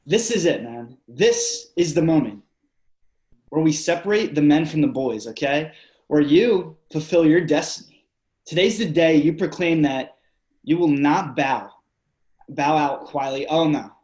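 A man acting as an excitable, over-dramatic male best friend in a play reading, effusive and grandiose with slangy delivery. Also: on the high side, a little slow, roomy sound, rough recording. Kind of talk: theatrical readers-theatre stage acting.

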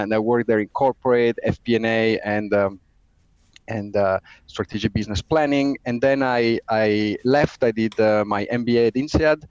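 A middle-aged man with an Italian accent, a finance executive giving a podcast interview, talking haltingly with many fillers and restarts. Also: fairly low pitch, dry recording, highs cut off. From Italy.

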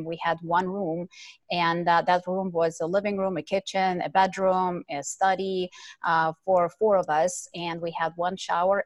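A woman's voice: lowish voice, dry recording. A middle-aged woman from Ukraine, a podcast guest telling her life story in a chatty way with many fillers. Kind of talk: casual interview storytelling.

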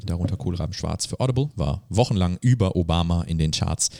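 A man's voice: sits low, fast talker, gravelly, mellow, no room sound, studio quality. A man co-hosting a podcast in casual, informal chat, dropping in English slang. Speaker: podcast co-host.

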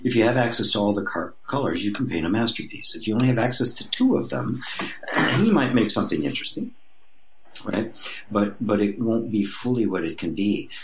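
A mature man with a low-pitched voice talks as a guest teacher in an interview. He explains his ideas reflectively and conversationally, often restarting a phrase as he works toward the wording. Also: expressive, fairly quick, a little hiss or hum, low-quality recording.

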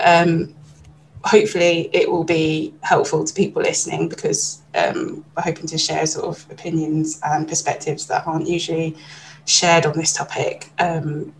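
A woman's voice: fairly low pitch, a little hiss or hum, low-quality recording. A woman moderating a panel discussion, putting questions to guests and chatting with frequent ums.

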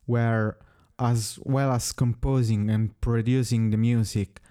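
A man from Italy, a native Italian speaker, talking slowly and carefully as an interview guest. His voice is smooth and resonant with a dark, mellow tone. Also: medium pitch, flat delivery. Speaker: musician guest. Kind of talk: prepared interview answers.

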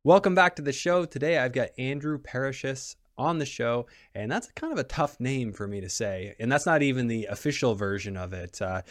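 A man's voice: medium pitch, expressive, brisk, hardly any pauses, no room sound, clean studio sound. A man hosting a podcast in a casual, conversational style. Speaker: podcast host.

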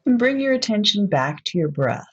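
A woman leading a guided meditation in a soft, unhurried, gently inviting way, on a dull lo-fi recording. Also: mid-range voice, big pitch swings, breathy edge.

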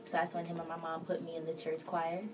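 A young woman from Kenya, a singer-songwriter, telling her story as a podcast guest in relaxed, unhurried chat. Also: low-pitched, loud music behind the voice. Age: 20s. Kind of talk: casual interview chat. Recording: distant mic with clear background noise.

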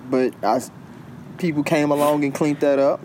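A man's voice: on the high side, steady tone, normal speed, a little mellow, a little hiss or hum. A man co-hosting a podcast, chatting casually and agreeably, often echoing what his co-host says. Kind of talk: casual chat.

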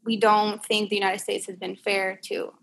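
A woman's voice: mid-range voice, flat delivery, moderate pace, vocal fry, fairly bright tone, poor audio. A young woman from Puerto Rico, a guest speaking earnestly and hesitantly in a casual discussion. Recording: faint background noise.

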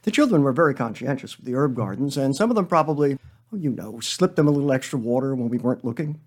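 A man reading a comic story monologue as a weary, defensive schoolteacher who rambles in a deadpan, hesitant way. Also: medium pitch, fast, natural voice. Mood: rambling, defensive. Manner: deadpan, hesitant, self-justifying digressions.

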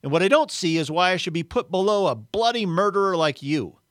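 A man voicing an indignant working-class ghost from a story, blustering and argumentative in rough colloquial grammar. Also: high voice, animated, fairly quick, a bit bright, no room sound, clean studio sound, voice pushed up. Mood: aggrieved, argumentative. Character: indignant, aggrieved working-class male ghost demanding his rights.

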